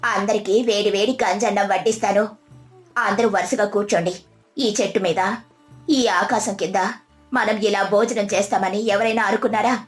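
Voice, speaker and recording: A woman voicing a brave, practical, devout village daughter-in-law in an animated moral story, speaking encouragingly. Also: medium pitch, moderate pace, soft background music, natural voice. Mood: grateful, warm.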